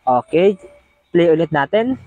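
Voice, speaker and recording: Guy walking thru a tutorial, high lively voice, chatty, repeats himself, dry close sound. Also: unhurried, dry recording, dull audio. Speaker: host of video editing tutorial. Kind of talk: casual step-by-step tutorial narration.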